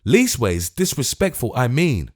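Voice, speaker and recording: Man doing a testy fussy lord mayor, self-important, fretting, lively high voice, audiobook. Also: a little slow. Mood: testy, fretful. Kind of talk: audiobook character voice.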